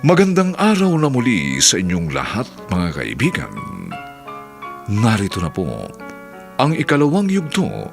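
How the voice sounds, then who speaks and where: A man's voice: lowish voice, wildly swooping pitch, a little slow, vocal fry. A man with a Filipino accent presenting a radio drama in formal scripted narration. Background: instrumental music.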